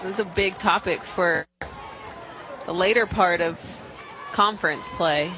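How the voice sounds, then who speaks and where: A woman giving informal, conversational color commentary on a live softball radio broadcast. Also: fairly low pitch, a little slow, low-quality recording. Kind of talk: live sports analysis and booth chat. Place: outdoor softball stadium broadcast booth. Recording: loud crowd and outdoor stadium noise. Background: crowd noise.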